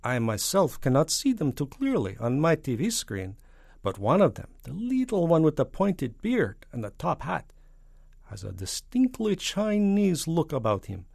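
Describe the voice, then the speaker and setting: A man voicing an indignant Soviet premier in a children's story, formal and deliberate in his stresses. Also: a little high, wildly swooping pitch, creaky, darkish tone, breathy edge, no echo, studio quality, pitched above the performer's own voice, heavily acted. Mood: protesting, sly.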